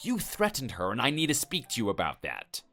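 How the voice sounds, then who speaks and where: A man's voice: medium pitch, wildly swooping pitch, fairly quick, a bit bright, soft background music. A man playing a stern, threatening husband who lectures and intimidates his neighbor. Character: stern, menacing husband defending his wife.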